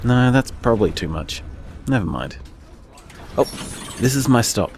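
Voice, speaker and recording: A man's voice: mid-range voice, big pitch swings, a little slow, a little hiss or hum. A man playing a kind-hearted, affectionate bar owner, rambling casually and earnestly as if leaving a voicemail in an audio drama. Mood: warm, earnest.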